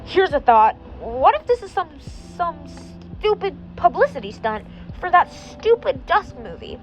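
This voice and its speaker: A woman voicing a geeky, excitable teenage boy with a blunt, sarcastic way of talking. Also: very high voice, measured pace, slight background noise, pitched above the performer's own voice, full character voice, rough recording. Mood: smug.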